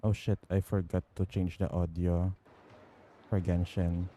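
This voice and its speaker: Low, slow Filipino man streaming. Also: flat delivery, warm tone, a little hiss or hum. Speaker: game streamer. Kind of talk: casual live gameplay commentary.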